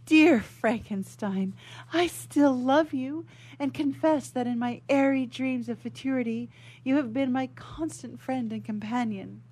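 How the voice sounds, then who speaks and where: A woman voicing a gentle, devoted young woman in love, speaking tender archaic lines in a radio melodrama. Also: high-pitched, measured pace, pitched above the performer's own voice. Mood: tender, worried. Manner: tender, formal archaic diction. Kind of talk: old melodramatic stage play as radio drama.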